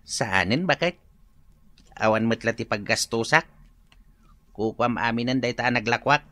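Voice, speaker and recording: Bright-voiced, easygoing Filipino husband. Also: male voice, medium pitch, measured pace. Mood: casual, assured. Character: easygoing, eager working husband. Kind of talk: radio comedy drama acting.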